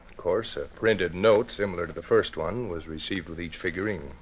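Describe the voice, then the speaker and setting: A man acting a gruff police inspector in a radio mystery, brisk and official. The voice is low, on a dull old recording with faint hiss. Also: lightly acted. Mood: businesslike.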